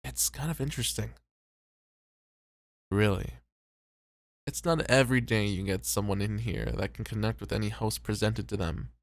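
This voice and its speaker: Man playing a gravelly, conscientious, weary host. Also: lowish voice, moderate pace, long pauses, dry recording, clean studio sound. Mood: curious, relaxed. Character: conscientious, self-doubting male host, weary but devoted. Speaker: voice actor. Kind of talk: ASMR roleplay acting.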